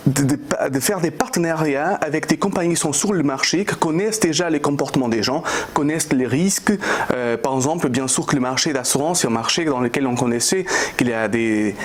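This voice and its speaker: Portuguese guy, 30s, kinda high voice, stumbles and restarts a lot, ceo talking business. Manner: hesitant, many fillers and restarts, non-native phrasing. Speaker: startup CEO, interview guest. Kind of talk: business interview answers.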